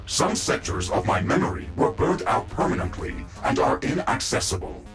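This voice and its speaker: An actor playing a calm, matter-of-fact male AI of a mecha suit in a sci-fi game, with clipped, formal technical reporting and a synthetic delivery. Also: low voice, normal speed, over loud music. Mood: reassuring, factual. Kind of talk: scripted sci-fi game dialogue.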